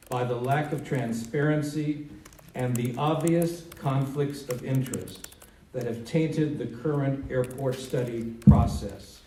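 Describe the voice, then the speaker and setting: Male political spokesman, medium pitch, formal public delivery, roomy distant recording with faint noise. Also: warm tone. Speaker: congressman's communications director. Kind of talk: public speech, reading a prepared statement. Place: indoor town hall meeting. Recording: echoey hall, distant mic, faint noise.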